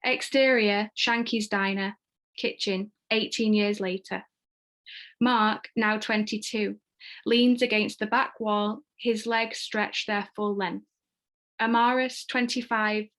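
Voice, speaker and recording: A woman's voice: mid-range voice, a bit bright. A woman narrating screenplay stage directions at a table read. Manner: reads screenplay action descriptively.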